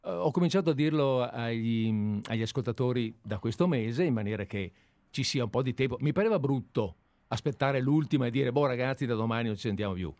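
A weary male radio host who talks in a halting way, full of restarts and pauses, as he takes leave of the show. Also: mid-range voice, animated, darkish tone, dry recording. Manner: hesitant, halting, many restarts. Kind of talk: casual on-air chat.

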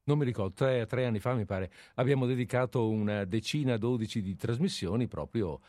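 A man's voice: mid-range voice, fairly quick, darkish tone, no room sound. A man hosting a casual radio chat from a studio, hesitant and often searching for his words. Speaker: radio show host.

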